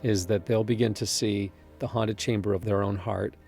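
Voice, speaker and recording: A man's voice: lowish voice, steady tone, moderate pace, darkish tone. A man talking earnestly in an interview, explaining a dramatic story. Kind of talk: promotional interview talk about a drama. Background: music.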